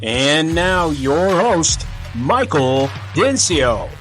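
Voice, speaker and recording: A man's voice: a little high, sing-song, very slow, fairly bright tone, faint music behind. A man announcing a podcast intro in a produced show opening.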